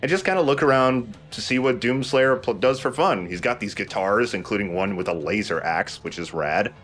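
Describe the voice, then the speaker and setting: Low-voiced man giving casual game commentary. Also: normal speed, fairly bright tone. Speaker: let's play host. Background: game music.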